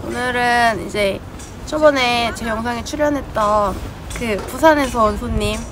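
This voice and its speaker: A woman's voice: fairly high pitch, moderate pace. Chatty woman vlogging at a seaside campsite. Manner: chatty, relaxed, upbeat. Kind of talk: casual camping vlog narration. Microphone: camera mic. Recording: outdoor ambience, traffic behind.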